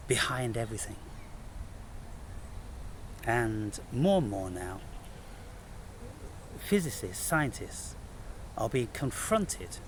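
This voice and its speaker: Male spiritual vlogger, unhurried and deliberate with emphatic pauses, recorded with noticeable ambient noise. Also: mid-range voice. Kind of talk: unscripted spiritual monologue to camera.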